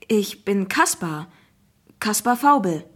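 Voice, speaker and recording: A woman's voice: a little high, expressive, slow pace, slightly rough, heavily acted. A woman voicing a curious, youthful schoolboy in a children's audiobook, eager and easily impressed. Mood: shy.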